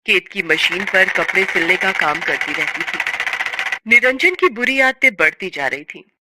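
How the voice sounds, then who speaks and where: A woman narrating a scripted story as an expressive, sorrowful storyteller. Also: lowish voice, unhurried, heavy background noise.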